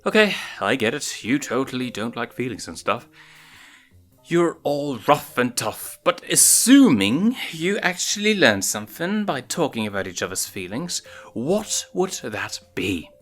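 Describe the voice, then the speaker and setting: A man puts on a motherly lioness for a storybook tale, lifting the pitch. She is curious and gently amused, teasing as she questions, over faint music. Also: sing-song, measured pace.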